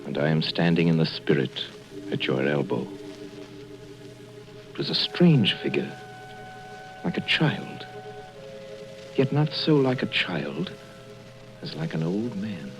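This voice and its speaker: A man narrating a radio drama from a literary script in a measured, dramatic storytelling manner. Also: extremely low pitch, sing-song, heavily acted. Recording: muffled radio broadcast, music underneath.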